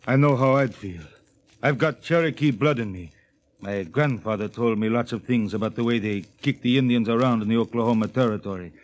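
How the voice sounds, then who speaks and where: A man's voice: medium pitch, brisk, close to an everyday voice. An actor playing a plainspoken, good-natured American spaceman with folksy, informal talk in 1950s radio drama style. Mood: earnest. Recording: faintly noisy old 1950s radio recording.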